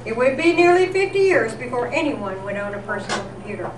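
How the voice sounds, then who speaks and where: High-voiced woman presenting in an echoey room. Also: big pitch swings, quick pace. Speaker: event presenter. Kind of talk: scripted comedic tribute narration, golden-age radio newscast format. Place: live event in a senior center room. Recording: echoey, noisy room recorded from far off.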